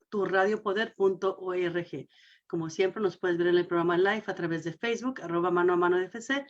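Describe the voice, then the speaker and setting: A woman hosting a community radio program, presenting and interviewing. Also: lowish voice. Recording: dull, band-limited sound.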